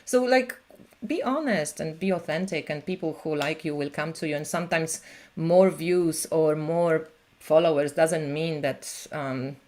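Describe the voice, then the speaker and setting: A woman who reads tarot, chatting on a live stream in an animated way with many fillers. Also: deep voice, measured pace. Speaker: tarot reader and channel host. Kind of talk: casual conversation on a live stream.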